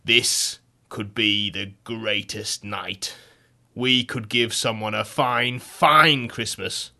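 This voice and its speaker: A man's voice: lowish voice, fairly even intonation, slow pace, gravelly, pushed and bright, heavily acted. A man voicing a hypnotised man who believes he is a king and delivers rousing, bellowing battle speeches.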